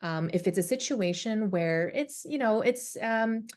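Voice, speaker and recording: A woman advisor answering questions in a webinar Q and A, careful and hesitant, with many ums and pauses, on a computer mic. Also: mid-range voice, darkish tone. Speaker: college admissions advisor.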